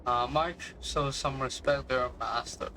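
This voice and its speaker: A man's voice: mid-range voice, steady tone, unhurried, pushed and bright, a little hiss or hum. A man doing casual game commentary as a streamer, muttering asides in reaction. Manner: hums nonsense tunes, muttering asides. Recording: game music behind the voice. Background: game music.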